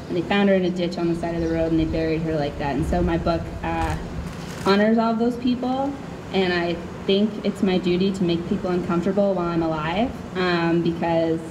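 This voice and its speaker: A young woman from Chicago with an American accent, a poet at a live spoken word reading, candid and informal as she projects into a stand microphone. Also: lowish voice. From Chicago, USA. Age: young adult. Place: outdoors under a canopy tent. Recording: clear background noise, outdoor event ambience.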